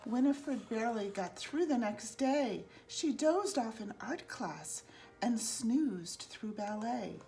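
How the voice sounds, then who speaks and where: A woman reading a rhyming children's picture book aloud in an expressive, singsong, deliberate way. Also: on the high side, rough recording. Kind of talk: read-aloud children's storytelling in rhyme.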